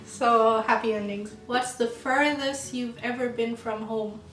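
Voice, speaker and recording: A young woman from Jamaica co-hosting a casual chat, slow and laughing often. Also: fairly high pitch, steady tone, a bit bright, a little hiss or hum. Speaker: channel co-host. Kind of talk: casual get-to-know-me chat. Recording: roomy sound, mic at a distance, music behind.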